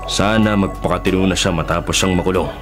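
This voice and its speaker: An actor playing a righteous, resolute husband who speaks firmly and declaratively in a radio drama. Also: male voice, low voice, fairly even intonation, fast talker, natural voice. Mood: calm, firm. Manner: firm, declarative. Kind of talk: Tagalog radio drama acting. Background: dramatic background music.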